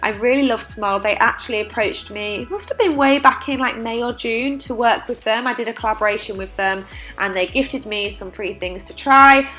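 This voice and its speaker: A woman's voice: fairly high pitch, normal speed, pushed and bright. A young woman with a stuffy, congested voice from a cold, chatting casually in a voice-over. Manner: chatty, with frequent "like" fillers. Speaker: cleaning vlogger.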